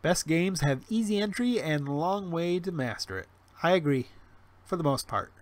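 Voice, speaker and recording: Rambling man in his forties, fairly high voice. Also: expressive, normal speed. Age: 40s. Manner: rambling, frequent restarts and ums, wry self-deprecating. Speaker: livestream host. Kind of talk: casual livestream chat reading chat. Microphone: webcam stream mic.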